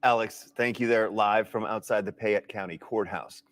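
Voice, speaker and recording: A man's voice: fairly low pitch, steady tone, moderate pace, background noise. An American man reading the news as a television anchor.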